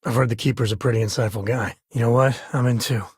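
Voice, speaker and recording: A middle-aged space cowboy and protective father for a video game. His voice is heavily husky and sits at an ordinary male pitch. He talks in a folksy, wry, informal way, with a dry studio sound and no room around it. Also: steady tone, fast, a little mellow, no room sound. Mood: easygoing. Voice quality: husky, raspy. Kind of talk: video game character voice lines.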